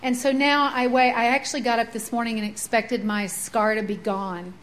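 American woman, big voice, higher pitch, church testimony. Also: steady tone, normal speed, slight background noise, low-quality recording. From United States. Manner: says she has a big voice. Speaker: congregant giving a testimony. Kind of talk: spoken testimony.

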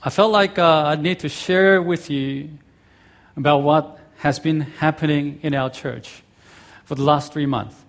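A middle-aged man preaching a sermon, his English carrying a blend of Korean, Australian and American accents. His voice sits a little high, and he talks in a friendly, halting way, with frequent ums and uhs. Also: fairly even intonation. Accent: mix of Korean, Australian and American. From South Korea. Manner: friendly, halting, many fillers. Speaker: guest pastor.

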